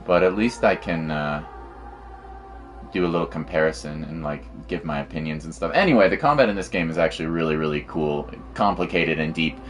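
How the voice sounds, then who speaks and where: A male game streamer with a low-pitched voice gives casual live commentary. He rambles informally through his thoughts, with game music playing faintly behind him. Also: a little fast, fairly bright tone.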